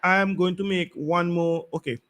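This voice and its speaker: Male radio talk host, fairly high voice, hesitant live delivery with repeated words. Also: fairly even intonation, moderate pace, fairly bright tone. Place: radio studio.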